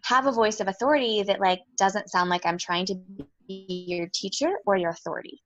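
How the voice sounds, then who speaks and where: A woman astrologer talking reflectively in a relaxed interview, explaining her practice with frequent "um" and "like". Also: mid-range voice, fairly quick, dull audio. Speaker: astrologer guest. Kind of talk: casual conversational interview.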